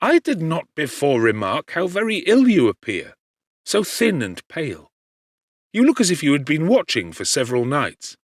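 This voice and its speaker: Guy doing an eager young gentleman in an audiobook, warm n cheery, old-timey formal talk, really animated. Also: on the high side, normal speed, no room sound, clean studio sound, voice pushed up. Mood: concerned. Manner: eager, exclamatory, formal old-fashioned speech. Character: cheerful, warmly concerned young gentleman friend. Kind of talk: audiobook character dialogue.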